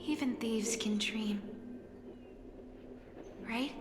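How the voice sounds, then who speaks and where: A wistful young thief from a fantasy game speaks in a hushed, fairly high voice. Her delivery is slow, halting and full of feeling, echoing over clear background music. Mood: wistful, fragile. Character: wistful, idealistic young woman thief, Cypher. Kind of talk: dramatic video game voice acting.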